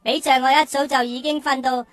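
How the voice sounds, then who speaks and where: A woman's voice: high voice, steady tone, a little fast, no room sound, soft background music, lightly acted. A woman playing an eager, naive little boy adventurer in a children's radio drama, boyish and exclamatory. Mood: eager, excited.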